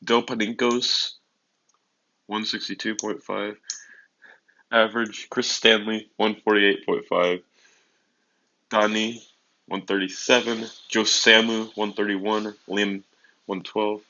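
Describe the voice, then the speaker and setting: A seventeen-year-old man with a deep voice, hosting a livestream in a casual, improvised way. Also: level tone, takes it slowly, long pauses, bright, forceful tone. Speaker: livestream host of an online racing league draft. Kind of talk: casual livestream chat with announcer-like list reading. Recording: dull, lo-fi microphone.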